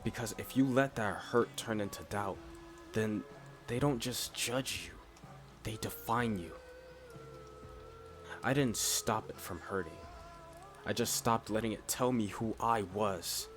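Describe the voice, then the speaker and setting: A man playing a blunt, steady, reassuring furry character in a scripted ASMR roleplay, speaking in short, deliberate phrases. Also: fairly low pitch, lightly acted. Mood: firm, reassuring. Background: music.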